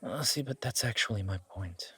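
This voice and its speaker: A man playing a charming, smitten mafia boss on a date in an intimate, conversational audio roleplay, teasing and earnest with a husky voice. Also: fairly low pitch, animated, normal speed, pushed and bright, full character voice. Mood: wry.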